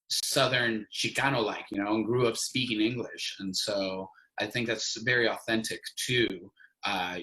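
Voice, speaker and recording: A man's voice: on the low side, bright, forceful tone, rough recording. A man co-hosting a podcast, talking reflectively with a lot of "like" fillers. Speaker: podcast co-host. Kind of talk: casual podcast conversation.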